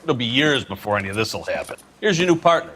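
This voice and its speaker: An actor playing a brisk, matter-of-fact police superior showing a rookie around in a video game. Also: male voice, mid-range voice, very animated, a bit bright, husky, poor audio.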